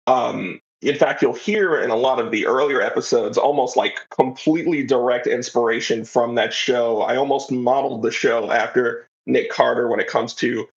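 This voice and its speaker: A man's voice: medium pitch, moderate pace, hardly any pauses, highs cut off. A man talking casually as an interview guest, explaining things in a rambling, enthusiastic way. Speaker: interview guest, writer of an audio drama.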